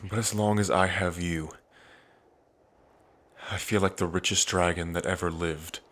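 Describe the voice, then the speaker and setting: A man playing an earnest, devoted dragon prince in an intimate ASMR roleplay, pausing between phrases. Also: low-pitched, flat delivery, fairly bright tone. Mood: tender, lovestruck. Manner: slow, earnest, with long pauses between phrases. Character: lovestruck dragon prince, grieving, tender, devoted.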